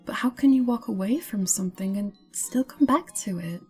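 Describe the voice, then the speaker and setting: A woman's voice: medium pitch, lively intonation, normal speed, dark tone, husky, soft background music, pitched above the performer's own voice. A woman voicing a plucky, questioning young girl from a storybook in an earnest, insistent way. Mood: curious, questioning.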